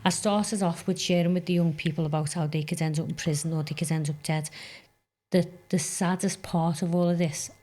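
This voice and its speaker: A woman's voice: on the low side, fairly even intonation, a little fast, dark tone. A woman in her fifties, a youth mentor, talking earnestly and telling stories in a podcast conversation. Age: 50s. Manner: earnest, storytelling, emphatic about goals.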